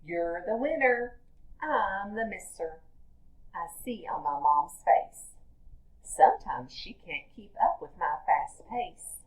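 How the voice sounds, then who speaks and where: Female primary teacher, slightly low voice, unhurried expressive read-aloud for young children. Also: poor audio. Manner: deliberate, expressive storytelling for children. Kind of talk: picture-book read-aloud with teaching asides.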